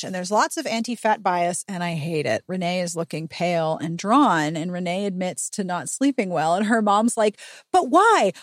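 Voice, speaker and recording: A woman hosting a podcast, giving a chatty, humorous book recap with sarcastic, expressive delivery. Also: medium pitch, dry recording, clean studio sound. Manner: sarcastic, expressive, acts out dialogue. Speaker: podcast host.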